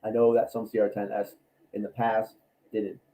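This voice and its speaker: A man with a raspy voice from allergies, chatting casually on a livestream about his builds, rambling with many ums and restarts. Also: fairly low pitch, flat delivery, moderate pace, mellow, poor audio. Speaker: cosplay maker and YouTuber.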